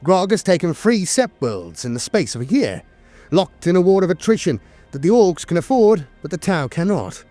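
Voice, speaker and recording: A man with a fairly high voice narrates fantasy war lore like a theatrical storyteller. His intonation swings widely through the dramatic script. The sound is dry and close, with some music behind it. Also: sing-song, moderate pace, mellow, no echo.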